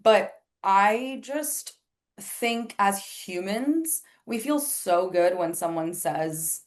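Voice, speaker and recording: A woman's voice: mid-range voice, expressive, drawn-out words. A woman whose first language is Spanish, an astrologer and life coach chatting candidly as a podcast guest, filling her talk with "like". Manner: chatty and candid, many "like" fillers, acts out quoted remarks. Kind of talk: casual interview chat.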